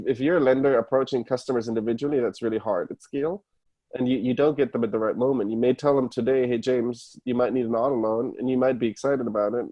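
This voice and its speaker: A man's voice: medium pitch, mellow, low-quality recording. A man from Germany with a German accent, a guest explaining things step by step in casual interview talk. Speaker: podcast guest, startup founder. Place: remote call.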